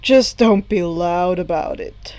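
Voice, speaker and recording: A woman voicing a guarded, surly young male villain who grumbles haltingly in a story reading. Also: lowish voice, a little mellow, dry recording, pitched below the performer's own voice.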